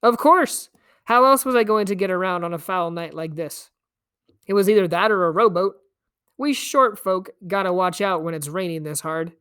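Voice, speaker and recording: A woman's voice: fairly low pitch, moderate pace, a bit bright, clear, resonant tone, lightly acted. A woman voicing a scrawny, grinning male stranger in a storybook, teasing and coaxing in a theatrical way. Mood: coaxing, breezy. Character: scrawny, grinning, wheedling stranger man, fast-talking trickster.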